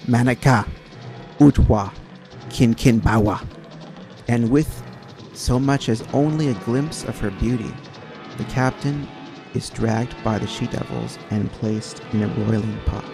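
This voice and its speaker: A man narrating an old-time radio adventure in a theatrical, grandiose manner with dramatic pauses. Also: mid-range voice, steady tone, mellow. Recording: narration over guitar music. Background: easy listening guitar music.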